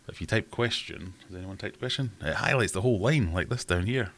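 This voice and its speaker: Deep-voiced man, chatty livestream host. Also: fast talker, fairly bright tone. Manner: rambling, self-deprecating, chatty. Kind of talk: casual live chat reading comments. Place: home.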